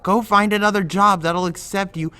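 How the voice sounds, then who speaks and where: A man's voice: high-pitched, fairly even intonation, moderate pace, pushed and bright, clean tone. An actor playing a blunt young man who pushes his friend with firm, lecturing tough love in an audio drama.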